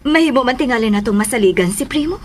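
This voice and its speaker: A woman's voice: fairly high pitch, lively intonation, fast talker, slight background noise, close to an everyday voice. An actress playing a caring, cautious but trusting mother in a Filipino radio drama, with a Filipino accent. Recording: music under the voice.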